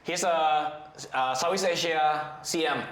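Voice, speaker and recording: A man hosting a podcast, chatty, asking quick questions and often repeating himself. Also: a little high, fairly bright tone. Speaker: podcast host. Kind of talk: casual interview chat. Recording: echoey room.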